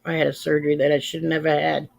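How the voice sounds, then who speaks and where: A woman's voice: sits low, flat delivery, fast talker, vocal fry. Woman, fifties, livestream host, casual chat, plain-spoken, emphatic delivery. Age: 50s. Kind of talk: casual chat with live viewers.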